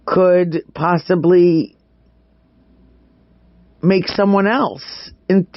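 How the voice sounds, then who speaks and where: A man with a slightly high voice talks through crime updates in a casual, opinionated way, repeating words to press a point. The audio is dry and close but somewhat muffled. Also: dry recording. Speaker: true crime vlog host.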